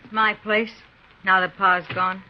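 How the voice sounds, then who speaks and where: A muffled vintage radio western recording of a young frontier woman played by an actress. She sounds trapped and subdued, her voice staying very flat. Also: mid-range voice, a little slow, natural voice. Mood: bleak, resigned. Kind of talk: 1950s radio western acting. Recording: muffled 1950s radio broadcast.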